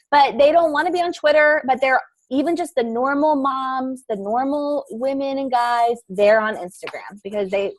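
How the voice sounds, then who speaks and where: Bubbly podcaster woman, high-ish voice, quick laughs, chatty run-ons. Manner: bubbly, laughs often, fast run-on sentences. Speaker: podcaster guest. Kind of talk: casual panel chat.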